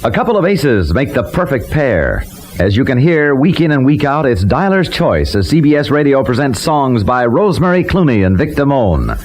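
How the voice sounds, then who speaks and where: Male 1950s radio announcer, jaunty polished promo read, animated pitch, light hiss. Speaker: network announcer. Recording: vintage radio with faint hiss.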